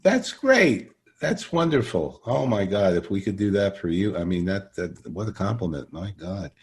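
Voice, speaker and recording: A man hosting a podcast interview in an effusive, halting way, rephrasing his questions as he goes. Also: deep voice, very animated, darkish tone. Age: middle-aged or older. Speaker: podcast host interviewing an actor. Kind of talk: enthusiastic, conversational interviewing.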